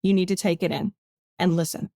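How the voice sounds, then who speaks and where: A woman's voice: on the low side, fairly even intonation, brisk, warm tone, no echo. Firm woman guest, dry close sound. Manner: firm, matter-of-fact. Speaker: podcast guest, government advocacy consultant. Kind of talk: casual podcast conversation.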